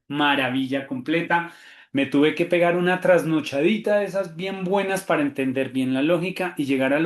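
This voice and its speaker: Fast-talking Colombian man, echoey room. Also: on the high side. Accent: Colombian Spanish. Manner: quick, animated talk with many fillers and restarts. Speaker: theology professor hosting a webinar. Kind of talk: webinar presentation.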